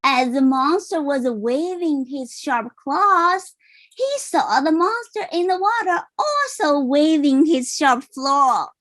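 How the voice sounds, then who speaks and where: Slow, high-voiced woman reading a storybook. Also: big pitch swings, a bit bright. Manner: simple, explanatory, checks in with listeners. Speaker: parent reading a picture book aloud. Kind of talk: read-aloud storytelling with asides.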